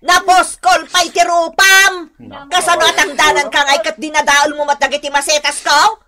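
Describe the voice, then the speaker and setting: A woman's voice: high voice, level tone, moderate pace, crowd noise, lightly acted. A woman with an Ilocano accent playing a haughty, cruel-tongued neighbor who berates and insults, in a radio drama. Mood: scornful, angry.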